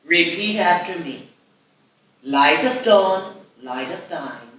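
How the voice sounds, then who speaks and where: A woman acting a gentle, patient grandmother who teaches spells, at a live table read. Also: on the low side, animated. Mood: solemn, patient. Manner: measured, instructive. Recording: very echoey room, distant mic, background noise.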